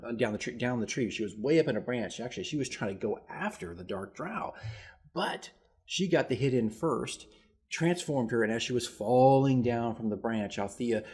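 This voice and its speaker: A man's voice: medium pitch, darkish tone, breathy edge. A man speaking casually as a dungeon master recapping a game, rambling and self-deprecating as he tells the story. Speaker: dungeon master keeping a campaign diary.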